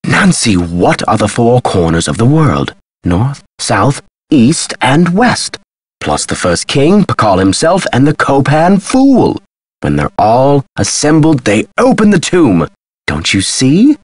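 An actor playing an eccentric, agitated scholar with memory loss in a video game, speaking theatrically with a husky voice. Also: male voice, mid-range voice, big pitch swings, takes it slowly, dry recording, exaggerated voice. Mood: excited, urgent. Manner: theatrical, emphatic, swinging delivery. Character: eccentric, agitated male amnesiac Maya scholar.

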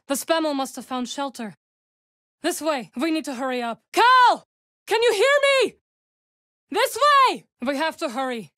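A woman voicing a calm, dry-witted young witch companion in a video game, speaking in measured, formal lines recorded close on a studio mic. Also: very high voice, dry recording, heavily acted. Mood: urgent shouting.

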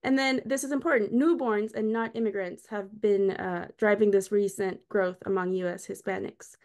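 A woman's voice: medium pitch, steady tone, moderate pace, a little mellow. A middle-aged woman from Costa Rica giving a friendly, explanatory lecture over a video call from a small room. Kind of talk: online lecture with slides. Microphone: webcam on a video call.

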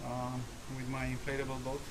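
A man giving an unscripted casual walkthrough as a vlogger, halting and hesitant, often pausing mid-sentence. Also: mid-range voice, monotone. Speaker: vlogger showing his gear. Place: outdoors in a residential driveway. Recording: clear outdoor ambient noise.

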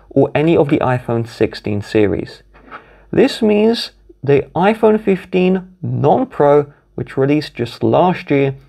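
A man's voice: fairly high pitch, gravelly, warm tone. A man from the UK with a British accent, methodically narrating a step-by-step phone tutorial while filming the screens at a desk. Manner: instructive, methodical, walks through each tap. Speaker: tech tutorial host.

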